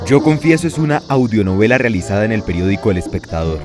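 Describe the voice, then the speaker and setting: Low-voiced male credits announcer. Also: expressive, fast, music in the background.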